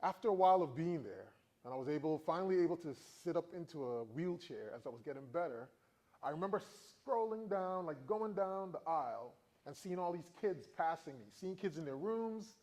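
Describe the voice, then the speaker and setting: A man from the Virgin Islands in his forties tells stories to a room of students, keeping them engaged with frequent questions. His voice sits a little high and swings with the story. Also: expressive, fairly quick, darkish tone, rough recording. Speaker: motivational speaker. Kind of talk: motivational talk to students.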